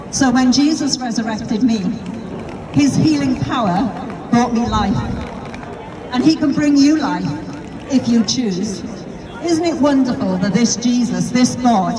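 A middle-aged British woman gives an earnest open-air sermon on a crowded shopping street. She speaks into a handheld mic over a street speaker, heard from some distance, with a steady murmur of shoppers all around. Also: fairly high pitch. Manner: earnest, with repeated rhetorical questions. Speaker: street preacher. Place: busy pedestrian shopping street outdoors. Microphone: handheld mic through a street speaker.

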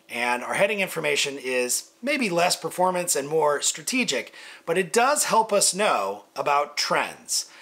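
Man explaining with lively, swooping pitch. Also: creaky. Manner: conversational, explanatory. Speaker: sailing coach. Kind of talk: instructional explanation, informal walkthrough.

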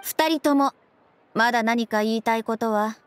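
Woman acting a stern young policewoman, fairly high. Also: moderate pace, clean tone, lightly acted. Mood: stern, official. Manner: formal, clipped, businesslike. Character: stern, principled young female police officer, level-headed. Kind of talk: Japanese game voice acting.

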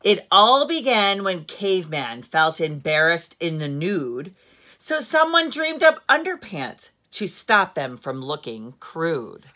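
A middle-aged woman reads a children's story aloud at home. Her voice is bright and forward, with lively rises and falls. She moves at an unhurried pace, stressing words like a storyteller. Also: lowish voice. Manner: deliberate storyteller phrasing with strong emphasis. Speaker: teacher reading aloud to children. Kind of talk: expressive picture-book read-aloud. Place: living room at home, seated in an armchair.

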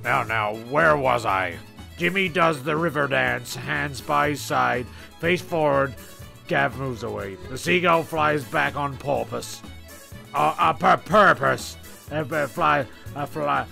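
A man's voice: medium pitch, slow, gravelly, bright, forceful tone, faint music behind. A man narrating a comedy script loosely, rambling and stumbling over his reading.

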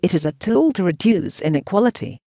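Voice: medium pitch, sing-song, a little fast, warm tone, dry recording. A synthetic text-to-speech voice reading translated news narration in a choppy way, speaking punctuation marks aloud.

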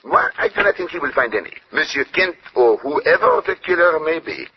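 A courteous, self-assured Belgian sleuth for a vintage radio mystery. His French-flavored accent is thick with formal turns of phrase, and his pitch swings widely. The recording is muffled, with some hiss behind him. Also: huge pitch swings, brisk, vocal fry, full character voice. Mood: assured, urgent. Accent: French-speaking Belgian. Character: famous Belgian detective, polite, shrewd, self-assured man. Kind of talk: old-time radio detective drama acting. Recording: dull, lo-fi old radio recording, noisy.

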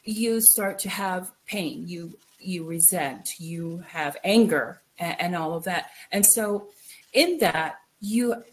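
A middle-aged woman from the Philippines giving a candid, reflective personal account as an interview guest, halting and repeating phrases for emphasis. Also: fairly low pitch. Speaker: guest, author. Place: indoor room, video call. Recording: noisy video call.

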